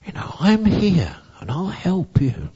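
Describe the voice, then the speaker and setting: A man voicing a gentle, loving woman carer who soothes a child. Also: high voice, wildly swooping pitch, unhurried, breathy edge, in a large hall, dull audio, voice pushed up, exaggerated voice. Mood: soothing. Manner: soft soothing comfort.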